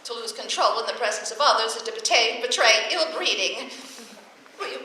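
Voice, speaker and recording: A woman playing a wry, quick-witted high school teacher with dry sarcastic wisecracks, in old-time radio comedy style. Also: lively intonation, sharp tone. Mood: exasperated. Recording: very echoey hall, slightly distant mic.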